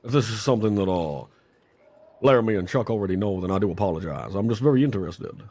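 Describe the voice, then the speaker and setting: A man acting as a polite Western dealmaker who asks probing questions. His low voice is gravelly and swings widely in pitch, with courteous hesitations. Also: expressive, fast talker, darkish tone. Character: courteous, inquisitive male Old West dealmaker. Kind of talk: improvised roleplay dialogue.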